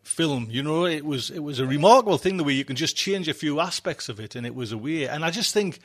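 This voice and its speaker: Bright-voiced man rambling casually. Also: fairly high pitch, animated, fast talker. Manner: conversational, repeats himself, trails off mid-thought. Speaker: podcast host.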